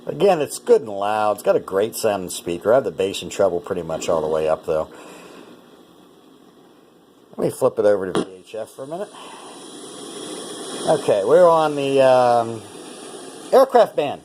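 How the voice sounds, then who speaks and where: Low-voiced North American man, casual demo. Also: big pitch swings, moderate pace, pauses often, a little mellow, a little hiss or hum, poor audio. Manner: plain-spoken, conversational, thinking aloud. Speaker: presenter demonstrating a vintage radio. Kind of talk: casual unscripted product demonstration.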